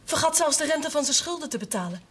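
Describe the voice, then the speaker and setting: A woman's voice: high-pitched, expressive, fast, fairly bright tone. An actress playing an earnest young former novice burdened with her family's business, in a television period drama. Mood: earnest, confiding.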